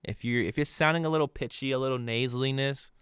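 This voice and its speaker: Nasal-voiced man explaining in a smooth, dry voice. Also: medium pitch, quick pace, dry recording. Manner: relaxed, loose, with fillers and trailing asides. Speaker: audio tutorial host. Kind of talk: casual screen-recorded software tutorial. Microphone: studio condenser microphone.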